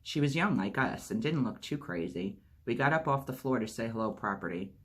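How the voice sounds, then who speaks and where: A man reading a memoir aloud as a storytime host, steady narration with lightly acted dialogue. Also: mid-range voice, brisk, slightly rough. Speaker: audiobook reader.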